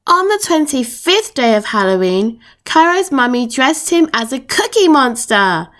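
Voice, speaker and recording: A woman reading a children's picture book aloud in an expressive storytelling manner. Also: high voice, a little slow. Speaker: storytime reader.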